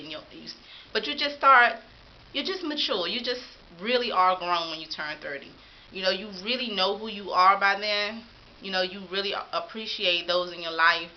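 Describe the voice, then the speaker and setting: A woman's voice: on the low side, lo-fi sound, a little hiss or hum. A woman in her thirties vlogging to camera in a casual, upbeat and encouraging way. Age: 30s.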